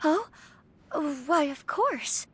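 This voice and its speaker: A woman's voice: extremely high pitch, lively intonation, extremely slow, fairly bright tone, slight background noise, heavily acted. An actress playing a gracious, well-bred young noble lady in a video game, speaking politely and expressively. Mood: politely intrigued. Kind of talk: scripted video game dialogue.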